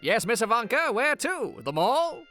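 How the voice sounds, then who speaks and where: A woman's voice: a little high, big pitch swings, measured pace, fairly bright tone, soft background music. A woman playing a naive, obedient chauffeur brother, eager to please, in a comic audio drama.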